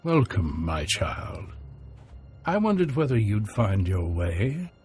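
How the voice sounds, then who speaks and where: An actor playing a sinister old cell leader in a video game, speaking with courtly, cool menace. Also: male voice, lowish voice, very animated, slow pace. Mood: coolly menacing. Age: older. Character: sinister old man leading a hidden cell, coolly menacing. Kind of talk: video game cutscene acting. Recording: game audio over loud music.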